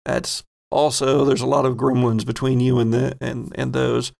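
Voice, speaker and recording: A man narrating a tabletop roleplaying game as game master, halting as he builds dramatic descriptions. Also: mid-range voice, level tone, gravelly, darkish tone.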